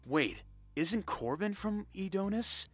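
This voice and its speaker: A man voicing a level-headed young planner in a fantasy visual novel, calm and reasoning. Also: high voice, slow, breathy edge, no room sound, faint music behind, exaggerated voice. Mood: determined. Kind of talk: amateur visual novel voice acting.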